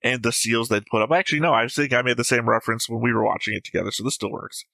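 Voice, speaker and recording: Male co-host, bright and sharp tone, analytical and playful, dry close mic. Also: mid-range voice, rapid-fire, creaky, no room sound. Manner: analytical, jokey, acts out quotes. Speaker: reaction show co-host. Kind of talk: casual discussion reading notes.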